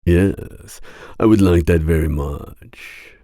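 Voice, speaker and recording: Man acting as masked mercenary villain, cultured, very low slow drawl, menacing, seductive, ASMR roleplay. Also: warm tone, no echo, clean studio sound. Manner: formal, deliberate, suave. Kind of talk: ASMR roleplay audio drama.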